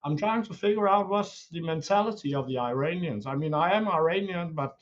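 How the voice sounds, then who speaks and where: A man in his forties, born in Iran and not an English speaker, calling in to a live discussion over a new webcam, talking haltingly with restarts. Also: fairly high pitch, expressive. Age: 40s. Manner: hesitant, restarting, self-deprecating. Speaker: caller. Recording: lo-fi webcam audio.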